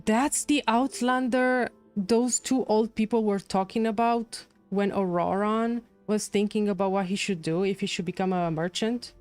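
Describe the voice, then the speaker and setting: Chatty woman streamer, mid-pitched. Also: fairly even intonation, normal speed, few pauses, darkish tone, clear, resonant tone. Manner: thinking aloud, chatty. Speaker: game livestreamer. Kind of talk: casual live game commentary. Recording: game music behind.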